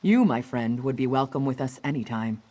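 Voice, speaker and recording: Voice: medium pitch, expressive, brisk, warm tone, lightly acted. An actor playing a resolute, loyal female sailor in a fantasy game, speaking sincerely and steadily. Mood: resolute, warm.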